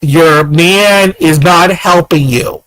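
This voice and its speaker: A man's voice: a little high, slow, fairly bright tone, no echo, rough recording. A man in his thirties giving ranting commentary, firing off repeated rhetorical questions. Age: 30s. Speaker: commentator reacting to clips.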